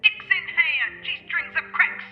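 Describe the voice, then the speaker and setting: A brash sheriff's deputy who barks orders in a comedy audio drama. Her voice is high and bossy, a little muffled, with faint music behind it. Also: expressive, takes it slowly, heavily acted. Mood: commanding.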